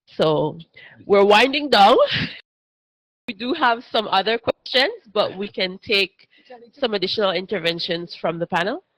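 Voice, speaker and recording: A woman moderating a seminar panel, summarizing points in a measured way and steering the discussion. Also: mid-range voice, expressive, fairly bright tone, low-quality recording.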